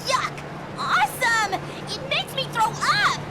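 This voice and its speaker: A woman playing a gleeful, hyperactive, foul-mouthed teenage little sister in a video game, gushing loudly. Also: extremely high pitch, huge pitch swings, slow, crowd noise, full character voice. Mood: gleeful, grossed out. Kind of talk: exaggerated video game acting. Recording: game audio with noisy effects.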